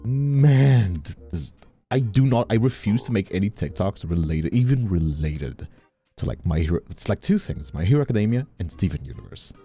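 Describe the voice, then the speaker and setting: A man's voice: fairly low pitch, very animated, normal speed, dark tone, soft background music. A man who streams and voice acts, chatting casually and bluntly, giving opinions and swearing freely. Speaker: streamer and voice actor.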